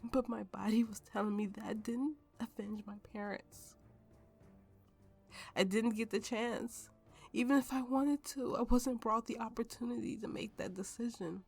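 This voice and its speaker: A woman playing a tipsy, haunted warrior telling her grim story in an ASMR roleplay, halting and dramatic, sometimes sinking toward a whisper. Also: fairly high pitch, steady tone, gravelly, darkish tone, slightly rough, soft background music. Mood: cold, vengeful.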